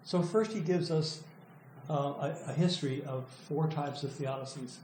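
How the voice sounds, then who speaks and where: An older male lecturer with a hoarse, mellow voice that keeps catching in his throat. He talks in a thoughtful, halting way, often restarting his words. The sound carries the echo of a room, with a faint hum behind it. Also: a little high. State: losing his voice, clearing throat. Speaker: professor presenting a student's work. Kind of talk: academic lecture. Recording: echoey room with faint noise.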